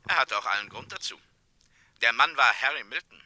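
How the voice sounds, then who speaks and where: Sharp, stern state prosecutor. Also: male voice, medium pitch, animated, dry recording. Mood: cool, official. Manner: clipped, matter-of-fact, deliberate phrasing. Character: stern, controlled male state prosecutor, coolly official. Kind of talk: German radio crime play acting.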